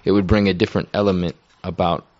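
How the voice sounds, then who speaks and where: A man's voice: deep voice, flat delivery, quick pace, a little mellow, no room sound. A man in a church discussion, uncertainly recounting something he once heard. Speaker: church member sharing. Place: room with a group. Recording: dull lo-fi sound.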